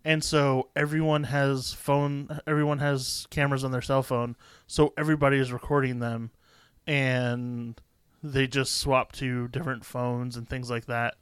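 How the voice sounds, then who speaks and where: A man's voice: medium pitch, flat delivery, measured pace, smooth, no room sound. A man co-hosting a podcast, chatting casually about a movie in a laid-back, rambling way. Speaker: podcast co-host. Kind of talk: casual movie review chat.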